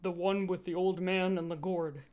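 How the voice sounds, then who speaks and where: A woman voicing a reserved security android in an audiobook, speaking in a dry, flat, understated manner. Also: fairly low pitch, moderate pace, phone-quality sound, lightly acted. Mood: reserved, hesitant.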